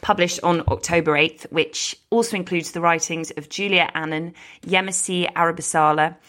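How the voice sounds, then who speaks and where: A woman's voice: on the low side, fairly even intonation, moderate pace, a bit bright. A woman with a British accent, co-hosting a podcast in a casual, conversational style. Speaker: podcast host.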